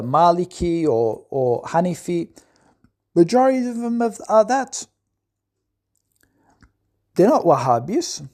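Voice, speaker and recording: A man's voice: a little high, darkish tone. A man in an impassioned, scolding monologue who keeps asking "right?". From Australia. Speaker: commentator.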